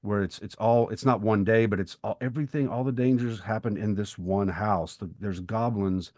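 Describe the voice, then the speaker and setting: Middle aged guy with a low voice chatting books on a podcast, dry close sound, feels his way through sentences. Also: steady tone, darkish tone, dry recording. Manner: thoughtful, halting, rephrases as he goes. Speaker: podcast co-host and writer. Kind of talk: reflective literary discussion.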